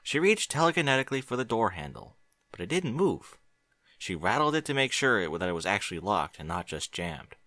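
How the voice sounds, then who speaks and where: A man narrating a fan fiction audiobook in a steady storytelling manner. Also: fairly low pitch, a little fast, dry recording, studio quality.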